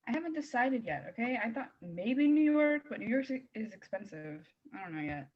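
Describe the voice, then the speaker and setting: A woman's voice: fairly high pitch, sing-song, fairly quick, muffled recording. A woman reading the part of a restless, guarded teenage girl at a script table read, understated and evasive. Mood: defensive. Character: restless, guarded teenage girl dreaming of leaving her hometown.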